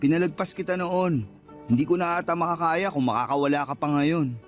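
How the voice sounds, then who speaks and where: Actor as higher-voiced Filipino sweet-talking suitor. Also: male voice, a little fast, close to an everyday voice. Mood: earnest wooing. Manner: flirtatious, earnest. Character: persistent, sweet-talking suitor wooing his old flame. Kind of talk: Tagalog radio drama dialogue. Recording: faint music bed.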